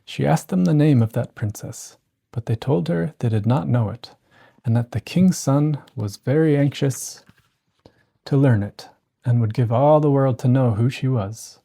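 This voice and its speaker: A storyteller giving a gentle, scripted bedtime narration of a classic fairy tale. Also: medium pitch, unhurried, creaky.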